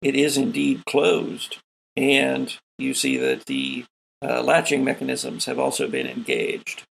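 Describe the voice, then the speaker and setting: A man's voice: mid-range voice, slow, gravelly, a bit bright, slight background noise, rough recording. A man running a tabletop sci-fi horror game as host, narrating scenes and calling for dice rolls.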